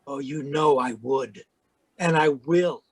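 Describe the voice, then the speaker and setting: A man's voice: a little high, takes it slowly, breathy edge, no echo, exaggerated voice. A man playing a pushy, slick, scheming villain in an old-time radio drama. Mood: menacing. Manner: slick, menacing, calls woman baby. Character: scheming, pushy male villain in frontier melodrama.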